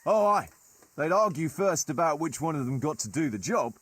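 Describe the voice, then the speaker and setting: Wry medieval mercenary leader for a game: husky, animated storyteller, very dry recording. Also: male voice, a little high, moderate pace, dry recording. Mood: wry, admiring. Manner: colloquial, archaic turns of phrase, wry. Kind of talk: dramatic storytelling in a video game cutscene.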